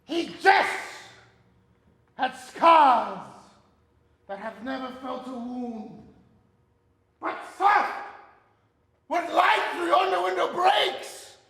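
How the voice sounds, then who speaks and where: An eccentric drunk declaims grandly, as if on a theater stage. The voice is very high and slow, ringing through an echoey hall. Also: male voice, expressive, pushed and bright, heavily acted. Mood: grandly romantic. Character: eccentric over-the-top drunken man, grandiose Shakespeare lover. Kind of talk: high school stage play acting.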